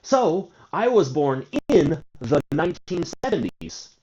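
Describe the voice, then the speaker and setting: A man's voice: a little high, big pitch swings, measured pace, darkish tone. A man in his forties teaching an English grammar lesson, asking and answering his own questions and drawing out key words. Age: 40s. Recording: dull lo-fi recording.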